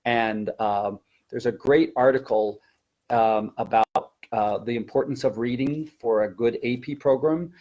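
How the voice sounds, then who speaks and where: A man with a medium-pitched voice leads a teaching webinar in a conversational way. He explains methods like a working teacher, repeating key words for emphasis. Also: a little slow, a little mellow, poor audio. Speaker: language teacher and trainer.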